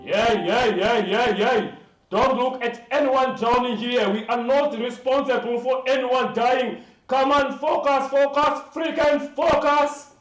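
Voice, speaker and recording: A man's voice: very high-pitched, steady tone, a little slow, soft background music. A man doing a harsh smuggler captain. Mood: barking. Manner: shouting, commanding. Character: harsh male smuggler captain, barking orders. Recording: roomy, distant, dull sound.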